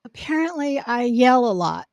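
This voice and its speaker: Woman podcast host, fairly high voice, loud and projecting, dry close sound. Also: normal speed, gravelly, no echo, dull audio. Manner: loud, projecting, gets excited. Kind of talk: casual podcast chat. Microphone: podcast microphone.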